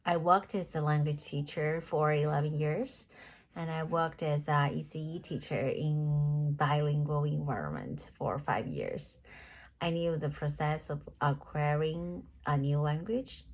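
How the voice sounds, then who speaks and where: Low-voiced woman. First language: Chinese. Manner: earnest, measured, frequent restarts and self-corrections. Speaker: teacher interview candidate. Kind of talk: rehearsed interview answers. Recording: steady background noise.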